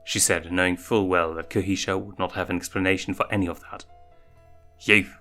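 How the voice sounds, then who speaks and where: A man's voice: deep voice, flat delivery, fast talker, fairly bright tone, soft background music. A man narrating a story, reading scripted fiction aloud.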